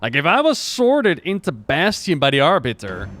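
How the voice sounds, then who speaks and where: A man giving casual, excited and conversational reaction commentary as a gaming lore creator. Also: a little high, normal speed, soft background music.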